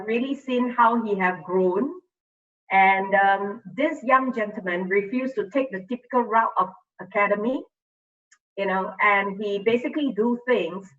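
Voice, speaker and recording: A woman with a mid-range voice introduces speakers at an online event. She sounds distant and muffled, speaking semi-formally with halting pauses as she partly reads her remarks. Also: medium pitch, bright, forceful tone. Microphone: online video call.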